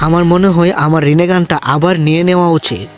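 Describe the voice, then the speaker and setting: Guy doing old anime villain, highish voice thru voice changer, boastful n teasing, music playing. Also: little pitch movement, fast. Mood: boastful, mocking. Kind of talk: comic amateur anime parody dub.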